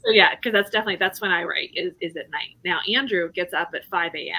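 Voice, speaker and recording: A woman hosting a casual live chat, upbeat and quick to laugh, who reads out viewer questions. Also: fairly low pitch, sharp tone, poor audio.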